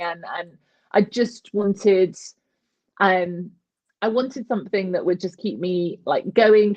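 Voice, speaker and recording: A woman's voice: on the low side, lo-fi sound. A woman in her thirties, a talkative guest on an interview show, chatting casually, self-mocking, with lots of restarts.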